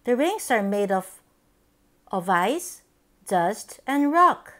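Woman reading slowly and expressively to small children. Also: medium pitch. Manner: simple, deliberate, expressive phrasing for young children. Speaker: children's book reader, channel host. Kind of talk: children's picture-book read-aloud.

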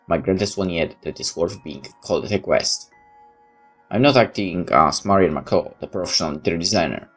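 A man playing a game on camera-free commentary, reading its narration aloud and adding remarks of his own. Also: low voice. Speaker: let's player. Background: game music.

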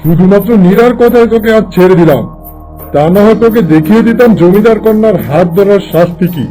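A man's voice: sits high, brisk, dark tone, soft background music, lightly acted, poor audio. A man voicing a stern, menacing village landlord and protective father in a fairy-tale cartoon. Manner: imperious, menacing.

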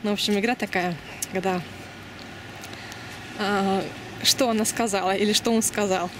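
A woman in her thirties from Uzbekistan talks into a handheld camera as she walks outdoors. Her voice sits in a middle range, and she chats loosely, full of fillers and restarts. Traffic and street noise are clearly heard behind her. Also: mid-range voice, steady tone, normal speed. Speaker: vlogger. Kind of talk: casual walking vlog. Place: city street at night, outdoors. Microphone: handheld camera mic. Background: traffic and street ambience.

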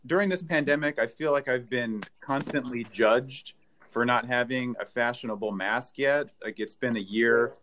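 A man in his thirties doing a stand-up set with deadpan one-liners, filmed on a webcam at home. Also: medium pitch, moderate pace. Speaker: stand-up comedian. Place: living room at home. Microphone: webcam, video call framing.

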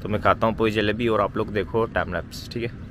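Chatty vlogger, low voice. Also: male voice, steady tone, fast talker, music in the background. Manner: chatty, addresses viewers as brother and friends. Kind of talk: casual vlog chat to camera. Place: outdoors by a road at dawn, later indoors in a room.

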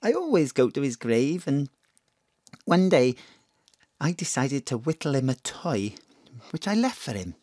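A woman's voice: a bass voice, sing-song, a little slow, pauses often, dark tone, no room sound, clean studio sound, full character voice. A woman playing a polite, hesitant, old-fashioned mother in her thirties, dignified in grief, in an audio drama. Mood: grieving. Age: 30s.

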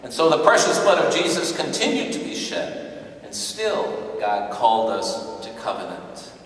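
An older man preaches slowly and deliberately from a church lectern, circling back to the same refrains. His voice rings through a big reverberant sanctuary, picked up from some distance away by the camera. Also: medium pitch, wildly swooping pitch, a bit bright. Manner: measured, repeating refrains. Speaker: priest. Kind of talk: homily. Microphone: stand mic on lectern, far camera. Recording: echoey church from afar.

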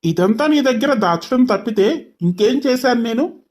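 A man's voice: very high voice, animated, moderate pace. An arrogant young male boss as a man acts him out in a told story. He is short-tempered and mocking, bickers curtly, and slips English phrases into his speech. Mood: mocking, defensive. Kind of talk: dramatized story dialogue.

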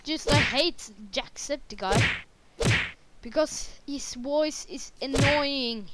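A young woman from Norway giving casual commentary while playing a game, excitable and yelping when she crashes. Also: high-pitched, slow pace. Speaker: gamer. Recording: loud background noise.

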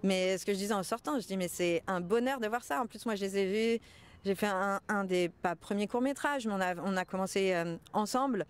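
A woman's voice: medium pitch, a little fast, smooth. Woman chatting in an interview. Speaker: actress being interviewed. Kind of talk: casual interview, conversational. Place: outdoors in front of a large mansion. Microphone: small mic held out by interviewer.

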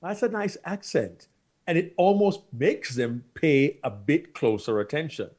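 Middle-aged Jamaican-accented man, husky and emphatic, speaking by video call. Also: fairly high pitch, animated, measured pace, a little mellow. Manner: emphatic, repeats key lines. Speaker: guest speaker. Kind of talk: animated panel answer. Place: studio with plain backdrop. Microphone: video call webcam.

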